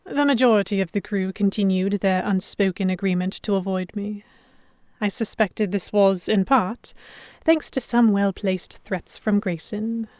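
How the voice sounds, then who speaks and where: A woman's voice: mid-range voice, a little mellow, no echo, clean studio sound, close to an everyday voice. A woman playing a defiant, sheltered young lady held by pirates, narrating an audio drama in first person with articulate, literary phrasing. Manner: articulate, literary, reflective storytelling.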